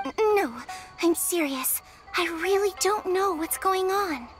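A woman's voice: extremely high pitch, lively intonation, takes it slowly, faint music behind, heavily acted. A woman voicing a timid, sweet little yokai girl in a video game, hesitant, shy and apologetic.

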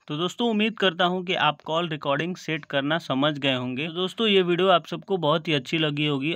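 A man's voice: fairly high pitch, sharp tone, dry recording. A man with an Indian accent hosting a tutorial, explaining step by step and often checking that viewers follow. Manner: repeatedly seeks confirmation, addresses viewers as friends. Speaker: tutorial video host. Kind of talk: step-by-step phone tutorial narration.

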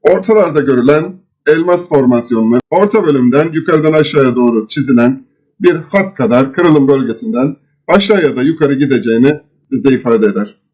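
A man presenting an instructional screen-share lesson, explaining step by step in a measured, didactic way. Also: medium pitch, a little mellow. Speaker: presenter of trading chart lessons. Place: voice-over recorded over screen capture. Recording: dull, lo-fi voice recording.

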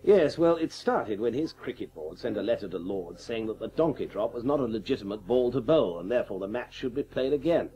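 An actor playing a blustering, indignant cricket patriot with an English accent in a radio comedy. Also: male voice, medium pitch, animated, a little fast, few pauses, warm tone, close to an everyday voice, rough recording. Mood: stubborn. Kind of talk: radio comedy drama acting.

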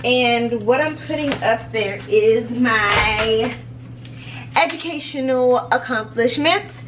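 American woman, higher-pitched voice, casual vlog chat, small room, recorded a little far from the mic. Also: takes it slowly. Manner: informal, chatty. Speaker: home organization vlogger. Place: small home office room, indoors. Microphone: camera a few feet away. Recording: distant camera mic with background noise.

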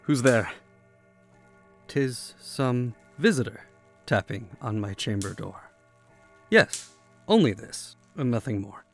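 An actor playing a grieving, anxious gentleman in an audio drama, speaking in a theatrical, halting way. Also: mid-range voice, expressive, faint music behind. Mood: uneasy, muttering. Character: grieving, anxious gentleman mourning his lost love. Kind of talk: dramatic full-cast audio drama acting.

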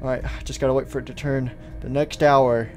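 Man playing an excitable, chatty little boy. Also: medium pitch, fairly quick, faint music behind, pitched below the performer's own voice, natural voice. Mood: muttering, busy. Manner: hesitant muttering that jumps to exclamations. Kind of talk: improvised play-acting story.

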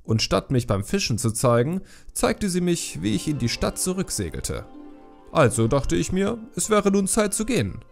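A man voicing an excited fisherman who tells his tales in a colloquial, animated way for a fantasy audiobook. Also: sits high, normal speed, vocal fry, faint music behind, voice pushed up, full character voice. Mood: matter-of-fact. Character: excited male fisherman recounting a wild sea escape.

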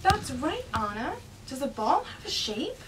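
Woman, children's read-aloud presenter. High, very lively, unhurried delivery; picked up from a distance with faint noise. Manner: animated, exaggerated, child-directed delivery. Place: small indoor classroom inside a mobile learning vehicle.

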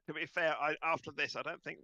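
Bright, gravelly male game master. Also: fairly high pitch, fairly even intonation, fairly quick, dry recording, dull audio. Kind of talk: casual running of an online tabletop game.

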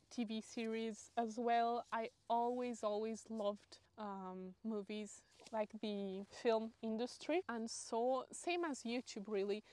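Female vlogger, late 20s, Italian accent, bright-pitched, chatty and loose, lapel mic outside. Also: clear, resonant tone, no room sound. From Italy, Lake Como area. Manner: chatty, rambling with fillers and restarts. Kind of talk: casual Q&A chat to camera. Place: grassy hilltop outdoors, sunny. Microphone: clip-on lapel mic.

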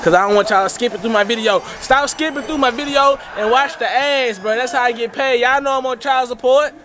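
A man's voice: extremely high pitch, fairly quick. A young man hosting a vlog, chatting casually to a handheld camera in slangy, hyped talk with repeated catchphrases. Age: young adult. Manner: slangy, hype talk with repeated tag phrases. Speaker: vlogger, host. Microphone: camera held at arm's length. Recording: handheld camera, loud background noise and chatter.

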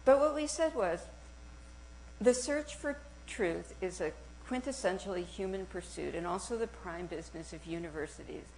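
Soft-spoken woman lecturing in an auditorium. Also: on the low side, moderate pace, a little hiss or hum, rough recording. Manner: animated and searching, with restarts and small laughs. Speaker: speaker giving a talk. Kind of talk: university lecture with reading aloud. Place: university auditorium.

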